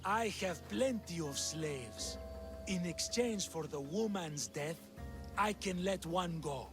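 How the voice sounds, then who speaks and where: A smug slave master in a video game. His voice sits a bit high and drips with condescending menace, with loud music behind. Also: lively intonation. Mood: taunting. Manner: sneering, condescending, unhurried menace. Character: smug, callous male slave master and cult villain. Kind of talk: video game cutscene acting.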